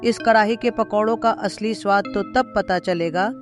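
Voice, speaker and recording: A man's voice: sits high, fairly even intonation, moderate pace, over music, pitched above the performer's own voice, natural voice. A man voicing a sly ghost woman in a horror cartoon, coaxing in a creepy way.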